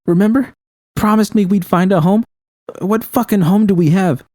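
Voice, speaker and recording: A man's voice: high voice, moderate pace, a little mellow, no room sound, studio quality, voice pushed up, close to an everyday voice. A man voicing a hurt, bitter young woman in a story, a betrayed lover who accuses with venom and swearing. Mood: furious, hurt.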